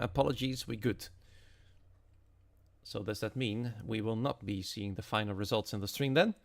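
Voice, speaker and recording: A man's voice: mid-range voice, gravelly. A man with a Dutch accent, speaking English as a non-native, giving live commentary as an esports racing commentator. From Netherlands.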